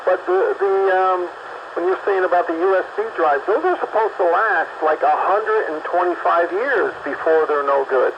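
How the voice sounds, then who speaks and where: A man's voice: high-pitched, sing-song, moderate pace. A man calling in to a casual radio chat, rambling and hesitant with many restarts. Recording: dull lo-fi line with background noise.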